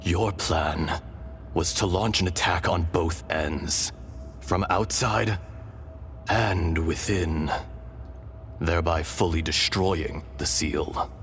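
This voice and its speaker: A man voicing a calm, wise fragment of an ancient dragon in a human body, solemn and gentle, in a video game. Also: sits low, slow, faint music behind. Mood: grave. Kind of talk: dramatic video game acting.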